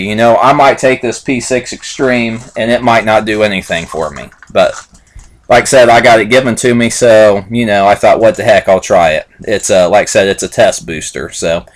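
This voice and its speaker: A man in his late twenties talking casually to camera in a rambling, repetitive way, with many fillers and "like I said". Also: on the low side. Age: 20s. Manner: loose and repetitive, lots of fillers and "like I said". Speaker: vlogger reviewing supplements.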